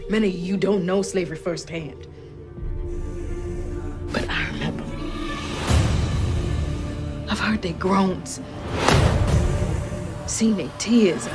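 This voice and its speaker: A woman's voice: lowish voice, slow pace, long pauses. An actress playing a fierce, unbending woman freedom fighter, speaking with solemn, urgent resolve in a film trailer. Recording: film trailer over loud music. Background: loud score music.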